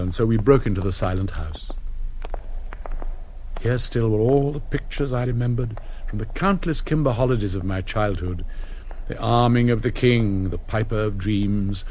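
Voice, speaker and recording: An older man playing a wistful upper-class gentleman recalling his gilded youth in a measured, elegiac stage monologue. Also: lowish voice, a little hiss or hum, natural voice. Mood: nostalgic. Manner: elegiac, patrician. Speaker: actor. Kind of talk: reflective memoir monologue in a stage play.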